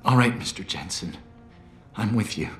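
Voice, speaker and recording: A man's voice: fairly low pitch, animated, normal speed, lightly acted. Man voicing an anxious, faltering captive scientist. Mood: nervous, doubtful. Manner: hesitant, faltering, stammering. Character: anxious, captive male scientist, timid and apologetic. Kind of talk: video game cutscene dialogue. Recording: roomy game cutscene with music. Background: background music.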